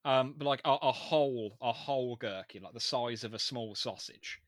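A man with a Birmingham accent co-hosting a podcast, speaking clearly with careful enunciation and frequent ums. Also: medium pitch, normal speed, dry recording, studio quality. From England. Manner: well-enunciated, hesitant with ums. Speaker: podcast co-host. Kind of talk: casual chat.

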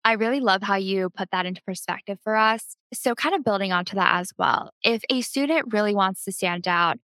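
A woman's voice: mid-range voice, brisk, a bit bright, no echo. A woman hosting a podcast, polished and upbeat, reading an intro and asking questions. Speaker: podcast host. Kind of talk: scripted podcast intro and interview.

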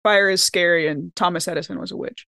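A woman's voice: lowish voice, steady tone, fast, gravelly, sharp tone, no room sound, clean studio sound. A woman co-hosting a podcast, chatting casually in a playful, joking way. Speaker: podcast co-host. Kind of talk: casual chat.

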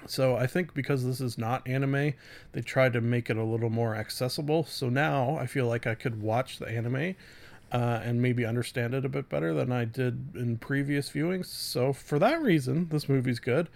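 Casual, halting man hosting a podcast. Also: mid-range voice, vocal fry, a little mellow. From Canada. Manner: halting, self-interrupting, with asides and self-corrections. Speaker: podcast host. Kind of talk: casual solo review monologue.

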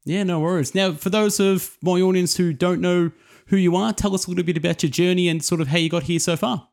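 Higher-voiced man hosting an interview. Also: steady tone, a little fast, gravelly. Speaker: podcast host, interviewer. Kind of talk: interviewing, introductions and questions.

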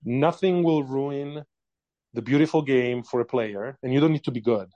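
A man's voice: mid-range voice, moderate pace, mellow, no echo, highs cut off. A man in his forties from Italy with an Italian accent, a company founder telling his story in a casual interview, hesitant with many fillers.

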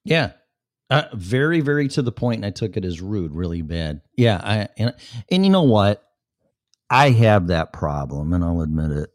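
Deep-voiced blunt Chicago man. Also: very animated, normal speed, a little mellow, dry recording, clean studio sound. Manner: rambling, blunt and profane. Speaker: show host. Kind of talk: casual livestream chat with viewers.

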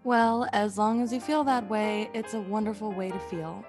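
A woman playing a gently sceptical, concerned friend and narrator in a classic radio suspense play. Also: mid-range voice, steady tone, moderate pace, music in the background, close to an everyday voice. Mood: curious, gently doubting.

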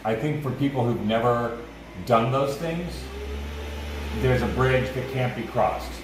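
A man co-hosting a podcast who talks loudly and reflectively. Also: mid-range voice, measured pace. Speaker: podcast co-host. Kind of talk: casual interview chat. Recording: distant, very echoey, noisy livestream audio.

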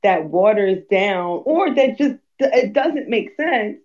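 A woman's voice: medium pitch, lively intonation, slow, a little mellow. A young adult woman talking candidly and bluntly in a casual conversation, with fillers and emphatic asides.

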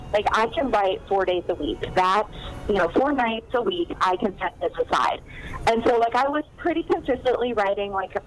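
A woman author talks through her writing life in a loose, chatty way. She meanders and circles back, leaning on fillers as she goes. Her voice sits at an ordinary middle pitch with a faint hiss underneath. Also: rough recording. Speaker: novelist guest. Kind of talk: casual interview chat.

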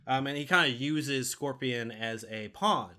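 A man with a bright, forward voice at a middling pitch, talking as a podcast co-host in a casual review. He explains things step by step and often pauses mid-thought as he goes.

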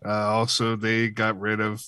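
A man talking casually as a podcast co-host, in an easygoing, measured way. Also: fairly low pitch, completely flat delivery, bright, forceful tone, clear, resonant tone, no room sound, studio quality. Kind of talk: casual wrestling chat.

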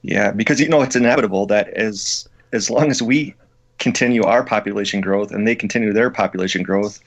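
A man's voice: fairly low pitch, brisk. Hesitant man asking questions. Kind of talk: casual interview questions.

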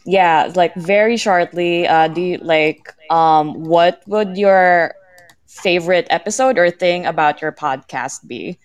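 A woman co-hosting a casual live podcast, with hesitant, halting phrasing. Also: on the low side, clean tone. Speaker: podcast co-host.

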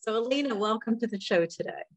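A woman hosting a book podcast, introducing and interviewing a guest with quick, friendly interjections. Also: fairly high pitch, expressive. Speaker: podcast host. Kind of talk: casual interview chat.

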